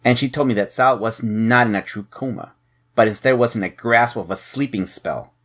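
An actor playing a shrewd, suspicious head agent, probing and mocking, in an audio drama. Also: male voice, medium pitch, moderate pace, fairly bright tone, lightly acted. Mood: accusing. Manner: probing, sardonic.

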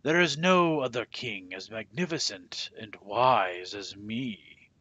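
A man's voice: mid-range voice, very animated, takes it slowly, pushed and bright, no echo, lo-fi sound, heavily acted. A man voicing a vain, short-tempered storybook king in an imperious, declamatory manner. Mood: boastful. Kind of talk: children's storybook read-aloud.

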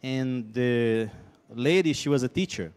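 A man's voice: medium pitch, a little mellow, clear, resonant tone. A man from Brazil in his thirties, giving a stage testimony in short phrases on a handheld mic. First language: Portuguese. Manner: speaks in short phrases, pausing for his interpreter. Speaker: guest speaker. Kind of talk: testimony with live interpretation. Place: stage in a hall.

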